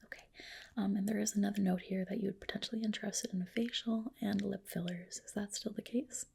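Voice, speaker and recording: A woman whispering softly in an ASMR roleplay as a clinic doctor, gentle, polite and reassuring, with a breathy delivery. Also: medium pitch, steady tone, fast, slight background noise. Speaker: ASMR host roleplaying a dermatologist. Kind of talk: soft whispered ASMR personal-attention roleplay.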